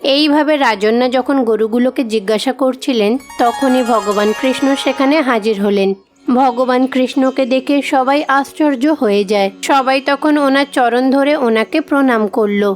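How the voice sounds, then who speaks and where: A woman's voice: high-pitched, normal speed, faint music behind. A woman reading scripted narration for a children's story as its narrator.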